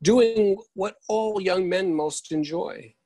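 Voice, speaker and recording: A man's voice: sits high, slow pace, dark tone, no room sound. A man playing a wry storyteller, narrating a comic verse tale theatrically for a readers' theatre performance. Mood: wry, storytelling. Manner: theatrical, list-savoring rhymed delivery. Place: indoors at home on a video call.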